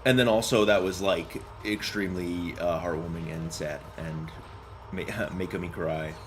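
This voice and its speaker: A man's voice: sits low, steady tone, normal speed, a little hiss or hum. A young man doing casual, slangy game commentary and chatting with viewers in a joking, rambling way. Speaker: game streamer. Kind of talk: casual gameplay commentary and chat.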